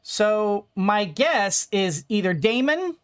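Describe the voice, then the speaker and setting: A game streamer talks casually at a very slow pace in a middling pitch. The delivery rambles, as if thinking aloud while playing. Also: very animated, smooth. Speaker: let's play streamer.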